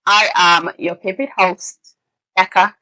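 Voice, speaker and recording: A woman's voice: medium pitch, fairly even intonation, a little slow, pushed and bright, no echo, poor audio. A woman from Sierra Leone hosting a podcast, chatting casually and expressively with her guest, often laughing. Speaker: podcast host. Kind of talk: casual interview chat. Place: studio in Edmonton, Canada.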